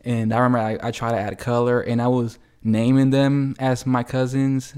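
A man's voice: mid-range voice, flat delivery, normal speed, mellow, clean tone. A young man from El Salvador, a guest artist answering questions in an easygoing, plain-spoken way at a kitchen table. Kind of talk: casual interview answers. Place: home kitchen.

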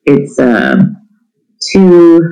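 A man's voice: on the high side, huge pitch swings, drawn-out words, creaky, mellow, rough recording. A middle-aged man, chatting casually as an interview guest in a candid, irreverent, self-deprecating way. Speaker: interview guest, homeschooling parent. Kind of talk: casual chat.

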